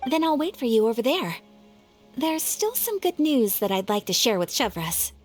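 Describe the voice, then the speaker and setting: High-voiced, frank young woman. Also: big pitch swings, moderate pace, soft background music. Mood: curious. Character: self-assured young woman, frank and pointed. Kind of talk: anime-style video game voice acting.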